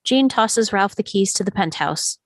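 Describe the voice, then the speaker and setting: A woman's voice: mid-range voice, animated, moderate pace, no room sound. Woman narrating a screenplay, very dry sound. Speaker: stage directions narrator. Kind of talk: scripted narration of screenplay table read.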